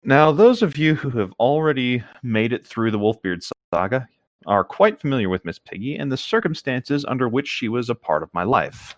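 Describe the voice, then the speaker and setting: A man co-hosting a story reading show in a casual, laid-back and somewhat halting way, reading aloud and chatting. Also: mid-range voice, no echo.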